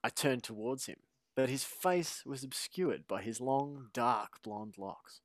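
Man, podcast co-host, playful, medium pitch, dry sound. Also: lively intonation, slow pace, no room sound. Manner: playful, laughs often. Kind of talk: podcast chat and reading aloud.

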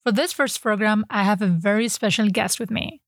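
A woman hosting an interview podcast, reading a scripted intro and asking questions in a measured way. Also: medium pitch, loud music behind the voice. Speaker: podcast host.

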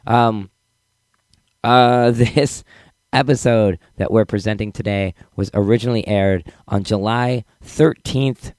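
Hoarse man hosting a podcast. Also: on the low side, steady tone, slow pace, darkish tone, dry recording, clean studio sound. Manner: chatty, laughing, with fillers. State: hoarse, losing his voice. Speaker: podcast host. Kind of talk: casual podcast intro.

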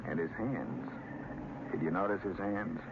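An actor playing a plain-spoken, gruff, resolute small-town sheriff in an old-time radio drama, with a folksy manner. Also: male voice, deep voice, a little slow. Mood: chatty, folksy. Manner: folksy, colloquial, expressive. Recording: muffled, noisy old radio recording.

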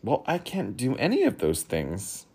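A man's voice: mid-range voice, huge pitch swings, a little slow, creaky, a little mellow, husky, pitched above the performer's own voice, exaggerated voice. A man voicing a polite, somewhat shy young boy. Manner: polite, hesitant.

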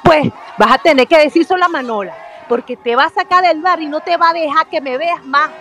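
A woman's voice: high-pitched, fairly quick, a bit bright. An actress playing a naive young Venezuelan girl in love in a radio soap, coy and colloquial, with a Venezuelan accent. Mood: worried, teasing. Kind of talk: radio soap opera acting.

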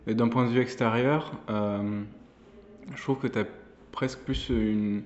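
A man hosting a podcast, asking questions in a relaxed, conversational way with some fillers. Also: medium pitch, a little slow, reverberant, lo-fi sound, a little hiss or hum. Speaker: podcast host. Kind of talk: podcast interview.

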